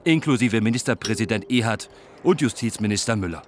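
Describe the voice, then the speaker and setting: A man's voice: lowish voice, lively intonation, a little fast, close to an everyday voice. An actor playing a naive, easily indignant male visitor on a tour in a German radio play. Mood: gossipy, foreboding. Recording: noisy ambient background. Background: ambient noise.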